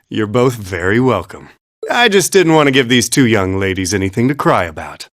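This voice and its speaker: Easygoing, animated young ally. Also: male voice, medium pitch, unhurried, dry recording, studio quality. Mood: light-hearted. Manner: casual, breezy. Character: easygoing, friendly young man, big-brotherly ally. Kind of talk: anime-style game voice acting.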